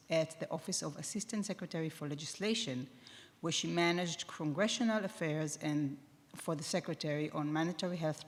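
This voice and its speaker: Woman, moderator, formal introduction, measured, low-ish pitch, long hall echo. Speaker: summit moderator introducing speakers.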